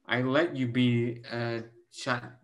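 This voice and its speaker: A young man playing a stern, protective older guardian in a staged play reading, measured and solemn. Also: medium pitch, steady tone, natural voice. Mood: solemn, insistent. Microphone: video call.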